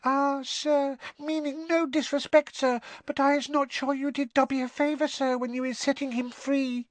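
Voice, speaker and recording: A man voicing a tiny, timid female house elf servant with a quivering squeak and deferential, broken grammar. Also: level tone, normal speed, dry recording. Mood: worried. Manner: broken grammar, deferential, constantly says sir.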